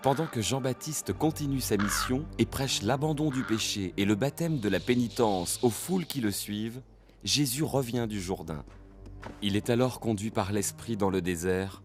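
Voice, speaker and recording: A male narrator tells a Bible story in a lowish voice with formal, measured phrasing. Soft music plays behind the scripted telling.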